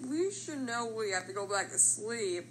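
Dramatic cartoon shampoo bottle played by a woman: high, lively voice, exclamatory delivery, some background noise. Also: normal speed, poor audio. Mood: scolding, soothing. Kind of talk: animated character voice lines.

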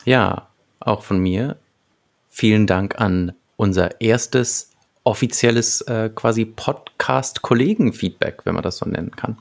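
A man in his thirties with a gravelly voice, presenting prepared material as a podcast co-host. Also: lowish voice, a little slow. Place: small book-lined room at home, evening. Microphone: large desk microphone close up, headphones.

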